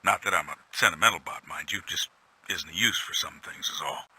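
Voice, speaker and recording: An actor playing a world-weary, gruff robot soldier in a video game audio log, a humble, plainspoken veteran with a folksy, stoic manner. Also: male voice, low voice, fairly quick, bright, forceful tone, exaggerated voice. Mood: reflective, matter-of-fact. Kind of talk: scripted in-character audio log monologue.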